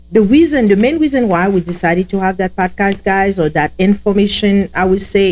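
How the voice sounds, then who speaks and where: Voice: mid-range voice, a little mellow, low-quality recording. A speaker with a heavy Haitian accent, a native Haitian Creole speaker, co-hosting a law firm podcast and chatting loosely with listeners. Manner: chatty, addresses listeners as guys, loose grammar. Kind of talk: casual podcast talk.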